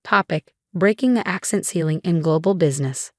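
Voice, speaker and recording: A woman voicing a brisk female business ally in a crisp, matter-of-fact tone. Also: on the low side, no echo, clean studio sound, close to an everyday voice. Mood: businesslike.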